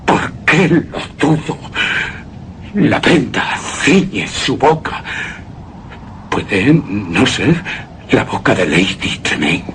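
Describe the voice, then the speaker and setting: An actor playing a terrified, anguished widower in a gothic horror radio drama, speaking theatrically in halting, repeated phrases. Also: male voice, mid-range voice, very animated, a little hiss or hum, exaggerated voice. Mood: feverish wonder. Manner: halting, theatrical, repeats words, breaks into hushed gasps. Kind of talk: Spanish-language horror radio drama monologue.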